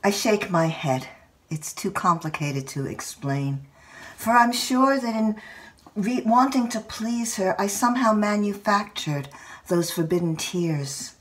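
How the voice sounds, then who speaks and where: An older woman reads a literary personal essay aloud in a measured, expressive storytelling manner. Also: medium pitch, recorded from a distance. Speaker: writer reading own essay.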